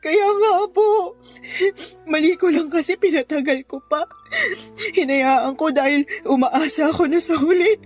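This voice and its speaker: A woman's voice: sits high, a little slow, a little mellow, soft background music. An actress playing a heartbroken, worn-out young mother in an emotional Tagalog radio drama. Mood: weary, sorrowful. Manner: emotional, pleading and bitter. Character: heartbroken, worn-out young mother leaving her cheating partner.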